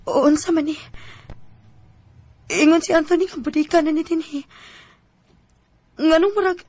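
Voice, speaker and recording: A young woman in a Cebuano radio drama. Her voice sits high, and she speaks as a sweetheart in love who is proud and easily wounded. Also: fairly even intonation, moderate pace, darkish tone. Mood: musing, puzzled. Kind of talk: Filipino radio drama acting.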